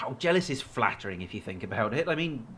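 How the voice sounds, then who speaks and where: A man's voice: mid-range voice, very animated, fast talker, fairly bright tone, a little hiss or hum. A man acting in an audio drama as a defensive, anxious victim of a controlling partner, hesitant and earnest. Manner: hesitant, earnest, self-justifying.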